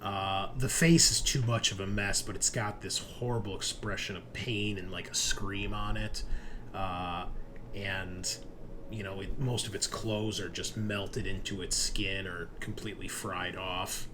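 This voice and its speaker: A man's voice: deep voice, fairly even intonation, a little slow, noisy background. A man narrating a tabletop horror roleplaying game as game master, in a conversational, descriptive, improvised way.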